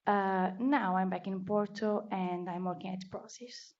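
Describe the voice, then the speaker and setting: Portuguese-accented woman speaker, slow deliberate delivery, muffled lo-fi sound. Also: mid-range voice, fairly even intonation, a little mellow, a little hiss or hum. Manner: careful, deliberate, with restarts. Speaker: meetup speaker. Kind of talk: conference talk. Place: meetup venue. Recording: dull lo-fi recording.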